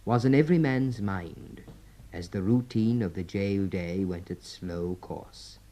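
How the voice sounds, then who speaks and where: A grave narrator for a radio prison drama. His voice is low and very mellow, and he reads the scripted lines slowly and somberly. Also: a little hiss or hum, poor audio. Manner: grave, measured.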